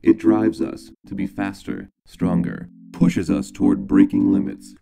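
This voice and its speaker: A man's voice: sits low, steady tone, measured pace, gravelly, dark tone. A man reading a dramatic motivational voice-over through a robotic synth vocoder. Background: synthesizer tones.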